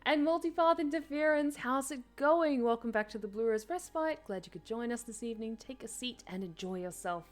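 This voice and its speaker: A woman's voice: a little high, lively intonation, brisk, hardly any pauses, soft background music. A woman streaming a game, chatting casually with her viewers, self-deprecating and talkative. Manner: chatty, self-deprecating, reads chat aloud.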